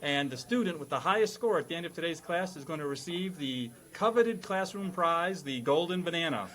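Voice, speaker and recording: A man's voice: a little high, expressive, brisk, fairly bright tone. A man hosting a podcast quiz, mixing scripted introductions with playful questioning in a mock-schoolmasterly manner. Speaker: quiz show host.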